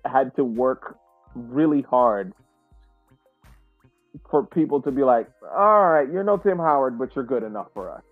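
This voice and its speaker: A man co-hosting a casual sports podcast, speaking haltingly and searching for his words. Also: medium pitch, lively intonation, no room sound, faint music behind. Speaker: podcast co-host. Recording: tinny, dry phone-like call audio.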